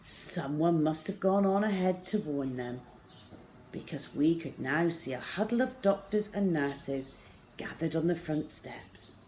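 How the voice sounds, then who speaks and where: Low-voiced middle-aged woman reading a story. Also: animated, unhurried, darkish tone, slight background noise. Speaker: storyteller reading a children's book aloud. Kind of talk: expressive scripted story reading. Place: small plain room indoors.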